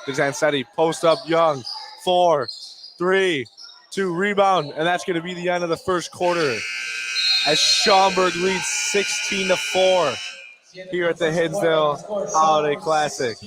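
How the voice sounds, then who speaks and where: A man's voice: on the high side, fairly even intonation, a little slow. A man doing live radio play-by-play of a basketball game in clipped short phrases, exclaiming on big plays. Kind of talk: live radio sports commentary. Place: indoor gym during a live game. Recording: noisy basketball gym ambience. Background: bouncing ball and gym noise.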